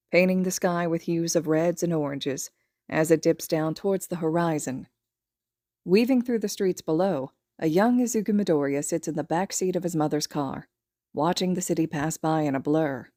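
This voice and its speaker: Low, mellow woman reading a story. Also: dry recording, clean studio sound. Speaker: podfic reader and host. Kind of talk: scripted story narration.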